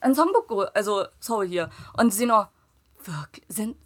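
A woman's voice: mid-range voice, unhurried. A young woman raised in Germany, chatting casually and telling stories with acted-out dialogue and frequent laughter. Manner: lively storytelling, acts out dialogue, laughs often. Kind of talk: casual chat.

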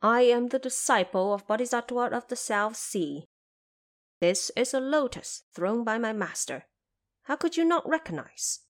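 A woman's voice: fairly high pitch, expressive, moderate pace, lightly acted. A woman voicing a bold, scornful warrior prince who serves a goddess, in an audio drama story. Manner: haughty, challenging.

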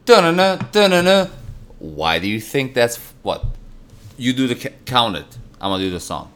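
A man with a deep voice co-hosting a podcast, chatting casually and telling playful stories. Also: moderate pace, clean tone. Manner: animated storyteller, playful. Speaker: podcast co-host. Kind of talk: casual chat.

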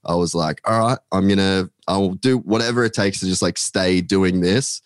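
A man's voice: deep voice, normal speed, no echo, clean studio sound. A man talking casually as a podcast guest, rambling with many fillers and casual swearing. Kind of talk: casual chat.

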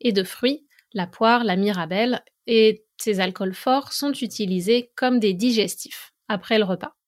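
A woman from France speaks as a teacher hosting an informal educational monologue. Her voice sits at an average pitch for a woman. She explains things methodically, moving through examples in an orderly way. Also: unhurried. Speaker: French language and culture teacher, podcast host.

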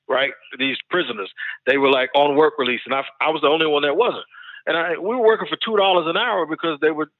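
A man's voice: fairly high pitch, no echo. Man on a dry, tinny call line. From United States. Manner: reflective, earnest storytelling. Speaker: podcast host and barber. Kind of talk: roundtable discussion.

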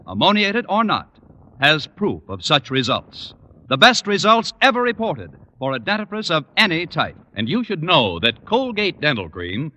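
Male radio announcer, animated pitch swings, emphatic, polished, muffled old broadcast sound. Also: big-room sound. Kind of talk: 1940s-50s radio advertising read.

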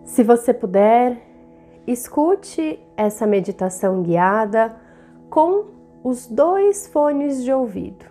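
Brazilian lady leading a guided meditation, really slow and soothing, music playing under her. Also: on the high side, expressive, mellow. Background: meditative background music.

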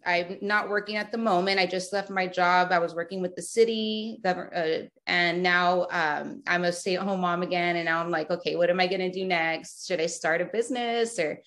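This is a chatty woman from South Texas, in her thirties, guesting on a podcast. Her voice sits a little low for a woman. She talks loosely, restarting her sentences and padding them with fillers. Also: steady tone, normal speed, hardly any pauses, fairly bright tone. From Rio Grande Valley, South Texas. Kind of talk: casual remote interview.

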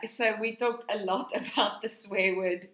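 A woman's voice: medium pitch, fairly even intonation, unhurried, a bit bright. A woman co-hosting a podcast in casual, upbeat, chatty style with a giggly manner, recorded with a roomy echo. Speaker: podcast co-host. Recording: roomy, echoey sound.

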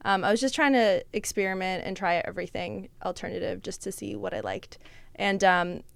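A young woman chatting as a podcast guest, her voice smooth and clear with a dry, close sound. She talks loosely, with lots of "like" and "um". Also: mid-range voice, fairly even intonation, a little fast, no room sound. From Russia. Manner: chatty, many fillers like "like" and "um", laughs often. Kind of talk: casual chat.

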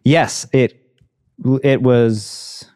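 A man's voice: mid-range voice, fairly even intonation, very slow, darkish tone, dry recording, clean studio sound. A man in his thirties hosting a conversation, speaking in a thoughtful, hesitant way and searching for words. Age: 30s. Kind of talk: casual conversation.

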